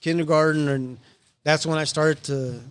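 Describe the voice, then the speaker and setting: Middle-aged man, podcast host, casual chat, easygoing, chatty, slightly high voice. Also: steady tone, moderate pace, clean tone. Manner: easygoing, chatty, laughs often. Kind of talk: casual conversation.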